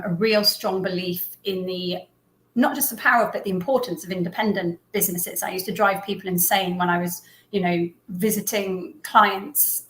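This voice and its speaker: A woman's voice: medium pitch, a bit bright. A woman with an English accent, a business owner speaking as an interview guest, talking in a thoughtful, friendly way with frequent ums and easy laughter. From England, Herefordshire. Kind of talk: casual interview. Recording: roomy echo.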